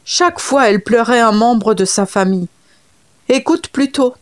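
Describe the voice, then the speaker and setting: A woman's voice: on the high side, animated, normal speed, close to an everyday voice. A woman voicing a frustrated leopard who keeps demanding his money. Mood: aggrieved. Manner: complaining, demanding. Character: hungry leopard creditor, frustrated and angry.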